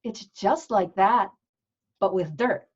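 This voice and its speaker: A woman's voice: mid-range voice, animated, slow pace, husky. A woman playing an earthy, zealous religious sister in a comic table read, telling things with rapturous emphasis. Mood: rapturous, earnest. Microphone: video call. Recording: dull, lo-fi online call audio.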